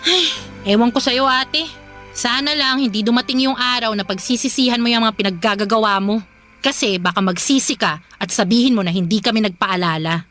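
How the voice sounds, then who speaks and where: Casting: female actor for sensitive, reproachful Filipino younger sister, radio drama, high pleading voice, light music underneath. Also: brisk, a bit bright, close to an everyday voice. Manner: pleading, scolding, questioning.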